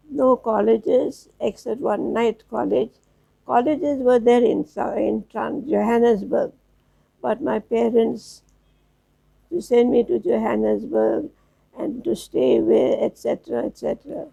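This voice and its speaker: A woman in her nineties from South Africa, reminiscing slowly as an interview guest, with halting restarts. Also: a little high, fairly even intonation, mellow, dull audio. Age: 90s. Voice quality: gravelly, husky. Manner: slow, halting storytelling with restarts.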